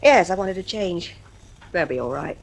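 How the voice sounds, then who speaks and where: An actress playing a soft-hearted, uneasy middle-aged mother in a radio drama. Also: fairly low pitch, lively intonation, moderate pace, vocal fry.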